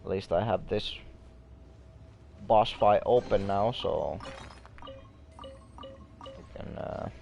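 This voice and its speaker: A man's voice: deep voice, expressive, takes it slowly, gravelly, long pauses, a little mellow, a little hiss or hum. A man giving casual, offhand gameplay commentary as a streamer, crude and often trailing off. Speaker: game streamer.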